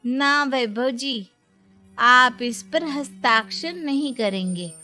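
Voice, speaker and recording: A woman voicing a bold, defiant young nomad daughter-in-law in a moral story, with rustic dialect and drawn-out, taunting delivery. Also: sits high, pushed and bright, faint music behind, voice pushed up, exaggerated voice. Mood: firm.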